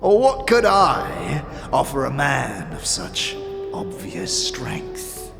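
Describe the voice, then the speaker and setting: An actor playing a sly, bitter old withered lord of scavengers in a fantasy audio drama, with a breathy, gravelly voice and halting, theatrical phrasing. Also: male voice, mid-range voice, wildly swooping pitch, fairly bright tone, heavily acted. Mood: mocking, wary. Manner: theatrical, halting, drawn-out phrasing with muttered asides. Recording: roomy reverb, music behind.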